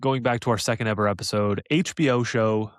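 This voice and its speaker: A man co-hosting a casual fan podcast, talking excitedly and pitching ideas with laughs. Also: brisk, dry recording, studio quality. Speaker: podcast co-host.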